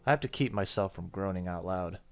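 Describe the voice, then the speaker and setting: A man with a low voice narrates a visual novel as a gaming YouTuber. He moves between reading the text and casual asides, with faint music underneath. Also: a little fast. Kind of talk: let's play, reading game text aloud with casual comments. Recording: faint game music behind voice.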